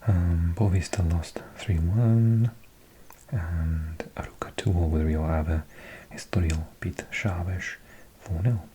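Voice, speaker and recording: A Welsh man in his forties, whispering softly as an ASMR host, slowly reading out a list of results with chatty asides. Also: low voice, fairly even intonation, background noise. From Wales.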